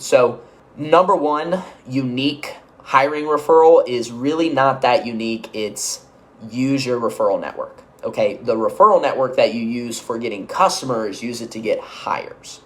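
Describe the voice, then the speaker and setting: A man giving an instructional business-coaching talk, conversational and energetic, checking in often with quick asides. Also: mid-range voice. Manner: energetic, conversational, uses filler checks like "okay?" and "right?".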